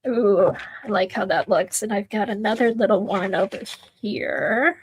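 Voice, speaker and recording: A middle-aged woman with a soft voice, chatting slowly and quietly while she works on an art journal during a livestream. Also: medium pitch, breathy edge, rough recording. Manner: soft-spoken, slow, drifting into murmurs while working. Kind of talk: casual livestream chat while crafting.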